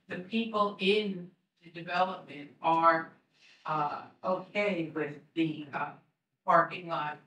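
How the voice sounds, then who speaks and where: An older woman reads her comment slowly and earnestly at a public meeting, pausing often between points. She sounds set back from the microphone, with the echo of a large room around her voice. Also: deep voice, fairly bright tone. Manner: earnest, measured, with many pauses. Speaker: tenant giving public comment. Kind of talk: reading prepared public comment. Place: community room at a board meeting, seated. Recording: roomy sound from a distant mic.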